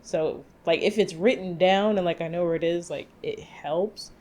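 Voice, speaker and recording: A woman's voice: on the low side, moderate pace, darkish tone. A young adult woman co-hosting a podcast in casual chat, talking loosely with plenty of "like" fillers. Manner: chatty, many "like" fillers. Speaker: podcast co-host.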